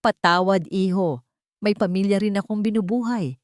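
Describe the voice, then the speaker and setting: A woman's voice: mid-range voice, moderate pace, dry recording, clean studio sound, close to an everyday voice. Woman voicing a worried elderly male supplier. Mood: apologetic.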